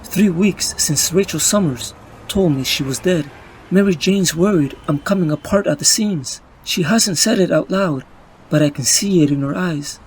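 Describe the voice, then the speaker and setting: Man acting a high-voiced, weary young superhero. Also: moderate pace, slight background noise, close to an everyday voice, low-quality recording. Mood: anxious, grieving. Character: young, weary, wisecracking male superhero narrating his troubles. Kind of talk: scripted comic-book narration and dialogue.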